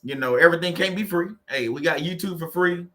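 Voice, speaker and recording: A man with a Louisiana drawl hosts a relaxed livestream, loose and teasing as he greets viewers one by one. His voice is bright and forward, sitting a little higher than most men's. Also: quick pace. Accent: Louisiana Southern US. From Louisiana, USA. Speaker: livestream host. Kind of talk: casual livestream chat with viewers.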